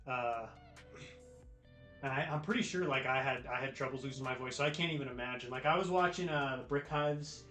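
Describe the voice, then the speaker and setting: An American man hosts a casual livestream, talking to his viewers in a loose, slangy, laid-back way. His voice sits at a medium pitch. The room adds noticeable echo, and music plays behind him. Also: a little fast, fairly bright tone. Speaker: livestream host. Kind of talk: casual chat with live viewers. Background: music, guitar.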